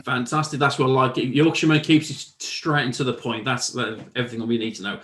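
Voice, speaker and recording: A man hosting an online networking call, calling people in turn in a brisk, informal way. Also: medium pitch, steady tone, fairly bright tone, echoey room. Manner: brisk, informal, repeats "brilliant" between people. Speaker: host. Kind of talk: casual online meeting hosting. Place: video call. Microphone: video call.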